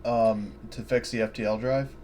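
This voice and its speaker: A man's voice: mid-range voice, fairly even intonation, normal speed, darkish tone, a little hiss or hum. A man playing in a tabletop roleplaying game, speaking casually as he describes and voices his character.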